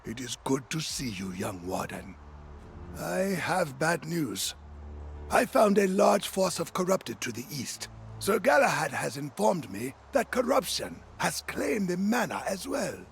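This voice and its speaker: Animated, high-voiced earnest game ally. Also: male voice, unhurried, vocal fry, soft background music, heavily acted. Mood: grave concern. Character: earnest, animated male ally warning of spreading corruption. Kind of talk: video game quest dialogue.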